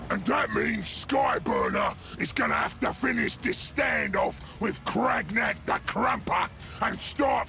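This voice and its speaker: A man voicing a sly, jovial ork salesman in a video game, with a raspy Cockney English voice and a wheedling manner. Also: very high voice, bright, forceful tone, full character voice. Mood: mocking, urgent. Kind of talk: video game character acting.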